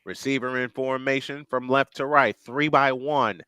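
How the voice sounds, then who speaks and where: A man calling an alternative play-by-play of a football game, quick and excited, correcting himself as he goes. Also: medium pitch, clear, resonant tone, dry recording. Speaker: play-by-play announcer.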